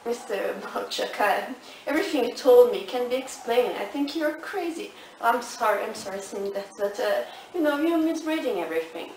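Woman acting hushed, evasive therapist in echoey room. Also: on the high side, animated, natural voice. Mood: flustered, evasive. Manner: hushed, halting, stammering. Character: nervous, evasive female therapist hiding an affair. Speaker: actor playing a therapist. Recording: echoey, distant, noisy room recording.

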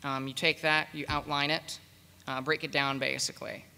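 A man speaking unscripted as a panelist, with a hesitant, halting delivery. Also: on the high side, flat delivery, creaky, slight background noise. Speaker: panelist, project leader. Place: convention panel room with audience. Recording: reverberant hall, bright sound.

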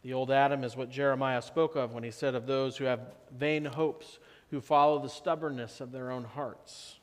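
A man in his thirties preaching a sermon as pastor, slow and measured, often dropping to a softer tone for emphasis. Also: medium pitch, steady tone. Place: church sanctuary. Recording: long church reverb.

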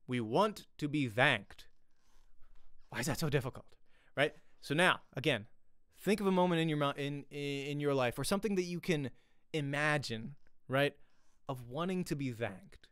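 A man, teaching an informal acting lesson in an animated, conversational way, often checking in with "right?". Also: fairly high pitch, moderate pace, no room sound, clean studio sound. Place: small home room, indoors. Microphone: close desk microphone, headset on.

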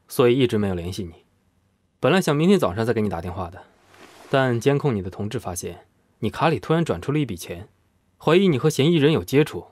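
Guy acting polite businesslike cop in an audio drama, measured official tone, dry close sound. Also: medium pitch, a little mellow, no room sound, lightly acted. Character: courteous, businesslike male police officer.

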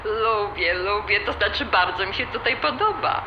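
A woman's voice: medium pitch, animated, normal speed, lo-fi sound, natural voice. An actress playing a cheerful, slightly flustered middle-aged mother in a radio drama, chatty and affectionate. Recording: echoey, noisy room sound.

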